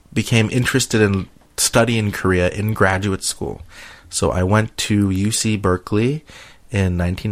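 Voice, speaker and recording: Slow-talking man, casual and playful podcast host. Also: fairly low pitch. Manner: playful, teasing.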